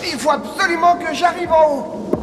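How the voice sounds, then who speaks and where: A man's voice: very high-pitched, fairly even intonation, normal speed, a loud crowd, heavy background noise. A man voicing a small, earnest, lovestruck insect hero in a cartoon, speaking in eager exclamations. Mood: eager, urgent. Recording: loud music behind.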